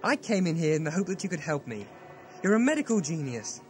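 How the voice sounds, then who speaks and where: A man's voice: on the high side, very animated, fast talker, faint music behind. A man playing a desperate, angry pleader in an audio drama, earnest and accusing.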